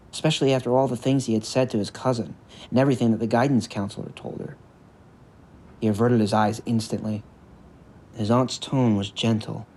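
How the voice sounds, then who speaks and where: A man's voice: fairly low pitch, darkish tone, slight background noise. A man reading a scripted story narration as the storyteller of an audio drama. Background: music.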